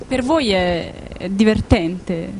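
Mid-pitched woman reporter asking questions. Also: slow pace, darkish tone, slight background noise. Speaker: interviewer, reporter. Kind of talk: news feature interview questions. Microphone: handheld interview microphone.

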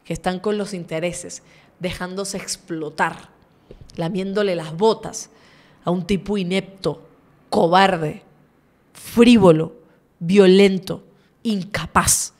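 A woman's voice: lowish voice, steady tone, slow, long pauses, darkish tone. A woman, giving sarcastic, emphatic and indignant political commentary as a host. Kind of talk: opinionated political commentary to camera. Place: small furnished indoor studio. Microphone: desk condenser microphone, close.

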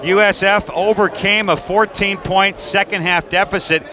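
A man's voice: high-pitched, steady tone, moderate pace, pushed and bright. A middle-aged man interviewing after a game as a sports broadcaster, conversational, speaking into a headset mic. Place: courtside in an indoor arena. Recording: crowd noise in busy arena.

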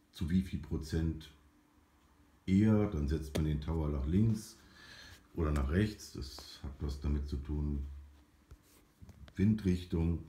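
A man giving an informal, unscripted explanation in halting, drawn-out phrases with frequent tag questions. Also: deep voice, mellow. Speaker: amateur explainer of aviation topics. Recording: roomy, echoing home recording.

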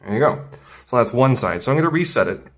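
A man's voice: mid-range voice, lively intonation, quick pace. A man teaching as a tailoring instructor in a casual hands-on demonstration, informal and thinking aloud as he works. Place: indoors at a work table.

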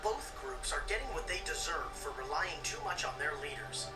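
Scheming woman, lowish swooping voice, haughty, mocking, echoey, loud music behind. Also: lively intonation, normal speed, distant mic. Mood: conspiratorial. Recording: echoey game audio under loud music.

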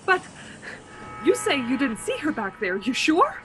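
Radio suspense: actress as desperate, haunted woman traveler, intense delivery, fairly high and very animated. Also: unhurried, faint music behind, exaggerated voice, rough recording. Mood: frantic, pleading. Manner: dramatic, intense. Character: frightened, increasingly desperate woman driver haunted on a road trip. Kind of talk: suspense radio play acting and first-person narration.

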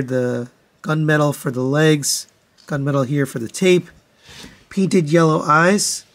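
A man with a slightly high voice gives casual review commentary. He works through things methodically, in a matter-of-fact, demonstrating way, as a toy reviewer would.

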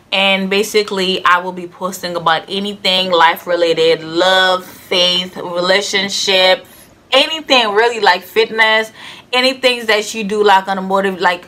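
Haitian girl talking to her webcam in her bedroom, lots of likes, bright tone. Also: lowish voice. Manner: chatty, earnest, many "like" fillers. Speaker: vlogger. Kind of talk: casual chat to camera. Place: bedroom, indoors.

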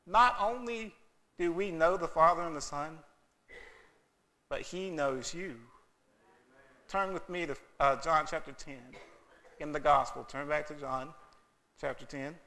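Pastor preaching in church, kinda high bright voice, earnest and punchy. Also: male voice, measured pace, long pauses. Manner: earnest, emphatic preaching with quoted asides. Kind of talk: sermon. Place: church sanctuary.

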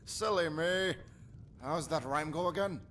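A man's voice: on the high side, unhurried, a bit bright, slight background noise. An actor playing a superstitious rustic husband and father in a video game, folksy, breezy and matter-of-fact about grim things. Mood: jovial. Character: jovial superstitious rustic husband and father, callous, cheerfully macabre. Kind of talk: video game character acting.

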